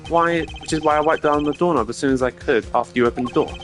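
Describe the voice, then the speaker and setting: A man's voice: a little high, fast, a bit bright, close to an everyday voice. A man voicing a smug, slippery prosecutor in a video game, glib and taunting as a suspect. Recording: voice over game music.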